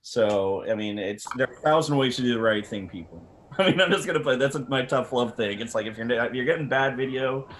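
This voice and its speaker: A man's voice: medium pitch, fairly quick, hardly any pauses, fairly bright tone. A man talking casually as an expert on a panel, blunt and rambling, often repeating words mid-sentence. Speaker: camera company representative on a panel. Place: online video meeting from a room. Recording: clearly noisy video-call audio.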